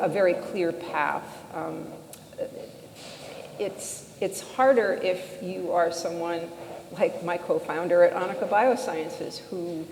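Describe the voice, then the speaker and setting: A woman gives conversational remarks as a panelist, choosing her phrasing hesitantly. Her voice is on the low side with noticeable fry. It is recorded in a reverberant hall. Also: a little mellow. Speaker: panel speaker, biotech co-founder. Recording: echoey hall, background noise.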